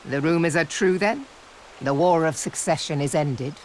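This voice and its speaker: A woman's voice: deep voice, moderate pace, darkish tone, slight background noise, close to an everyday voice, low-quality recording. A woman voicing a serious, formal member of a war council in a fantasy game cutscene. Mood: serious, probing.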